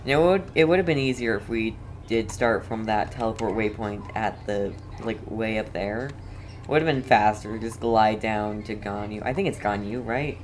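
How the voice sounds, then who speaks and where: A woman's voice: extremely low pitch, normal speed, a little mellow. A woman giving casual gameplay commentary as a streamer, rambling and questioning herself as she goes. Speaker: game streamer. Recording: clearly noisy background.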